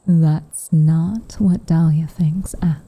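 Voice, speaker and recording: A woman voicing a guarded, secretly tender tsundere witch in soft, often whispered ASMR roleplay, with coy, hesitant, wryly formal phrasing. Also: low voice, fairly even intonation, slow pace, breathy edge, no room sound, exaggerated voice. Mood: guarded, wistful.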